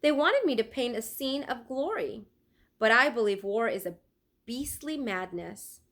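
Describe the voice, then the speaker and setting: A woman's voice: on the high side, animated, measured pace, fairly bright tone, pitched above the performer's own voice. A woman voicing a passionate, commanding male master painter from a storybook in an emphatic, declaiming manner. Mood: earnest.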